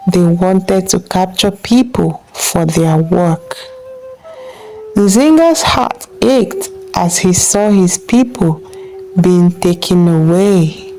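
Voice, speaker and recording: A woman narrating a scripted folktale as a storyteller, in measured phrases with deliberate pauses. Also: mid-range voice, warm tone, poor audio.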